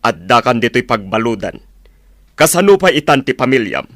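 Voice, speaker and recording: Stern Filipino police officer. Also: male voice, mid-range voice, very animated, normal speed, a bit bright, no room sound. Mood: stern, reproachful. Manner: firm, reproachful. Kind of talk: radio drama acting.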